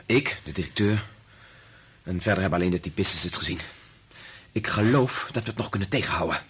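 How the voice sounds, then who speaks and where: An actor playing an alarmed, conscientious scientist in a radio play, earnest and urgent. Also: male voice, low voice, expressive, fairly quick, a bit bright. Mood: hushed, reassuring. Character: alarmed, conscientious male scientist pleading for caution. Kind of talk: dramatic radio play acting. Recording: old radio drama recording, noisy.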